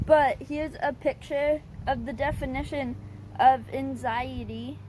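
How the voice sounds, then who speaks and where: A woman vlogger giving casual advice, talking in a halting, hesitant way with stutters and restarts. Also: high-pitched, slight background noise. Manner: hesitant and plain-spoken.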